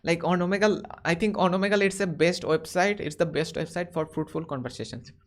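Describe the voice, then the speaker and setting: A man's voice: high voice, a little fast. A young man with an Indian accent, chatting casually and hesitantly, often repeating words as he hosts an online video chat. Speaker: host.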